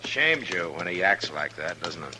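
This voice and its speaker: A man acting a steady, rueful Western town marshal in an old-time radio drama. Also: deep voice, moderate pace, background noise. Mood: uneasy, gentle. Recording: vintage radio recording with music.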